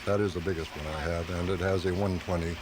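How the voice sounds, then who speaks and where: A man's voice: low-pitched, fairly even intonation, fast talker, a crowd in the background. A man being interviewed as a hobbyist on live radio, explaining things casually and plainly. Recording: noisy home-taped live radio.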